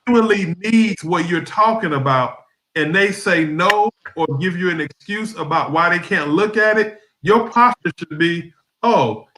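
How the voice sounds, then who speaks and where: Man on a zoom call talking slow and steady, brightish voice, kinda echoey. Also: high voice. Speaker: guest on training call. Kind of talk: casual explanation on video call. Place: home room on video call. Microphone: webcam or laptop mic on video call. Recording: echoey room.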